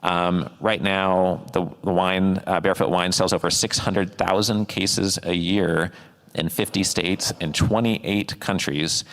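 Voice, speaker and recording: A male host interviewing guests, speaking deliberately with frequent pauses and fillers. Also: low voice, steady tone, a bit bright, in a large hall. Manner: deliberate, with frequent ums and uhs. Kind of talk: interview questions.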